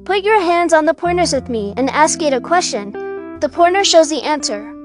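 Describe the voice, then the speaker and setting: A woman's voice: sits high, animated, normal speed, over music, voice pushed up. A young woman voicing an eager, pushy young girl in a read-aloud story, coaxing and urgent.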